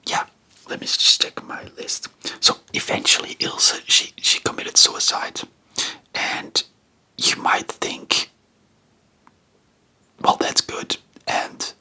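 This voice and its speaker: A non-native English speaker whispers in a breathy, very bright and sibilant voice. The delivery is very slow, informal and hesitant, like an ASMR host rambling spontaneously through a history chat. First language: not English. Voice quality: soft, breathy, unvoiced whisper. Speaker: ASMR video creator and host.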